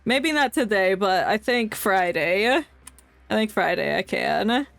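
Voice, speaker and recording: A woman's voice: medium pitch, animated, unhurried, gravelly, fairly bright tone, no echo. A woman streaming a game with casual, playful, joking commentary. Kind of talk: casual live gameplay commentary.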